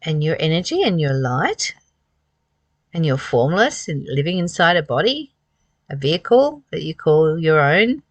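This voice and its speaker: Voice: medium pitch, big pitch swings, unhurried, no echo. A host reading aloud from a spiritual book and commenting on it, earnest and emphatic, with repeated phrases and rhetorical questions.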